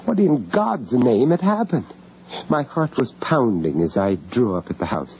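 An actor playing an anxious English gentleman in a radio horror drama, halting and stammering in distress, on an old radio recording. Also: medium pitch, big pitch swings, muffled recording, a little hiss or hum. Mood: grim, shaken. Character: shocked, anxious English gentleman, family friend of victims. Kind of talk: radio horror drama acting and first-person narration.

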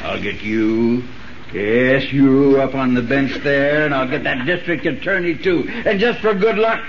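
An actor playing a vengeful condemned murderer, sneering and defiant in rough speech, in 1930s radio drama style. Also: male voice, mid-range voice, measured pace, close to an everyday voice. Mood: defiant, threatening. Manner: sneering, threatening, rough uneducated talk. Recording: muffled, noisy 1930s radio recording.

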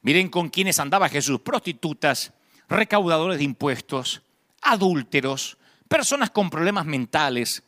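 A man's voice: on the high side, big pitch swings, measured pace, a bit bright. A man preaching in a conversational evangelical style, emphatic and rhetorical, repeating key phrases for effect, with wry asides.

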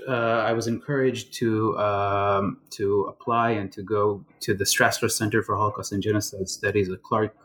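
A middle-aged man from Israel, a scholar answering interview questions slowly, with many fillers and restarts. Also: mid-range voice. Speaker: guest scholar.

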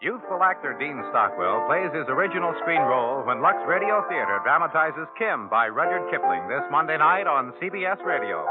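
Vintage network announcer: American man, fairly high and very animated, reading script over prominent music. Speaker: radio announcer. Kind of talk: 1950s radio announcing, scripted. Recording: tinny old radio broadcast recording. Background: loud music.

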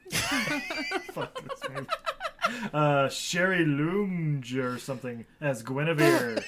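A man's voice: a little high, wildly swooping pitch, extremely slow, pauses often, very noisy. Giggly young man hosting a movie podcast. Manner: laughing often, listing credits with filler ums. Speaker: podcast host. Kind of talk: casual movie podcast chat.

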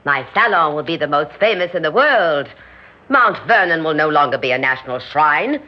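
Grandiose scheming society hostess, swooping delivery. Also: female voice, low voice, animated, normal speed. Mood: grandiose, fervent. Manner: theatrical, imperious. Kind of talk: old radio drama acting. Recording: muffled old radio recording.

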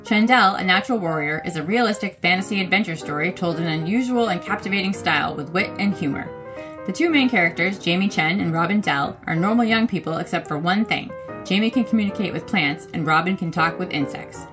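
A woman reading a scripted sponsor ad in a smooth, even delivery. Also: on the low side, fairly quick. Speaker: sponsor announcer. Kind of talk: scripted ad read. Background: music.

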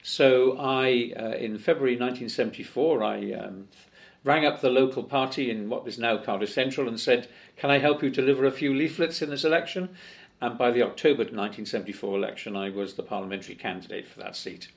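Gravelly older man, chatty. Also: medium pitch, steady tone, brisk. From Wales. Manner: chatty, with many fillers and restarts. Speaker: guest. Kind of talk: conversational interview. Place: office in Parliament.

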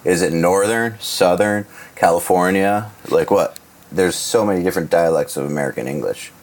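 Male host, deep low register, blunt teasing banter. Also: moderate pace. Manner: blunt, swears freely, teasing. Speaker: podcast host. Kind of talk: casual chat.

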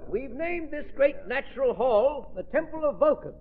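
A man's voice: very high-pitched, expressive, moderate pace. An American man playing an informative, showmanlike tour guide in an old radio drama, declaiming as if to a group. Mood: grand, informative. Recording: tinny old radio recording.

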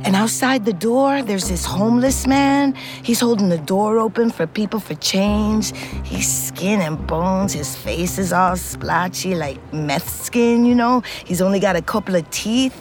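A woman's voice: medium pitch, measured pace, music in the background, close to an everyday voice. An actress playing a foul-mouthed, middle-aged ex-convict, telling her story in a confiding, conversational way. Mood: wry, confiding. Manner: streetwise, blunt, confiding. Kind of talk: scripted first-person narration, conversational.

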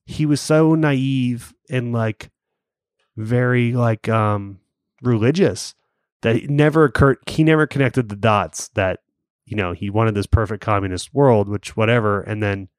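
A man's voice: mid-range voice, unhurried, clear, resonant tone, no room sound, clean studio sound. A man co-hosting a podcast, chatting casually with plenty of fillers and restarts. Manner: rambling, lots of fillers and restarts. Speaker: podcast co-host. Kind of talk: casual chat.

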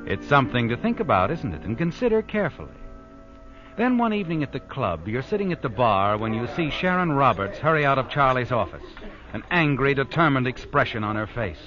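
Taunting male storyteller, animated, insinuating, vintage radio mystery, dull sound, music behind. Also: medium pitch, a little fast. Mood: sly, taunting. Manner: insinuating second-person address, rhetorical questions. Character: sly, taunting narrator voicing a schemer's thoughts. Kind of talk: 1940s radio mystery narration. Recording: muffled old radio broadcast. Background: dramatic music.

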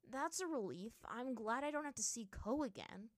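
A woman's voice: a little high, expressive, moderate pace, no echo, pitched above the performer's own voice, close to an everyday voice. A woman voicing an impatient, hot-headed male Avatar who talks in a demanding, exasperated way in a story reading. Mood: curious.

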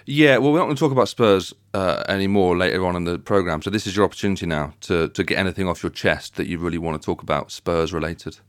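A man hosts a sports podcast in a casual, conversational way. His voice sits fairly low. The sound is dry, with very little room on it. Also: quick pace, few pauses, dry recording. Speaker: podcast host. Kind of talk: casual football chat, hosting.

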